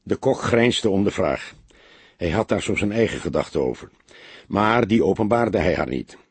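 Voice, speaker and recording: Guy reading a crime audiobook, really husky low voice, expressive melody, dry sound. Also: normal speed, dry recording. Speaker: audiobook narrator. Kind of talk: scripted literary narration of a detective novel, with character dialogue.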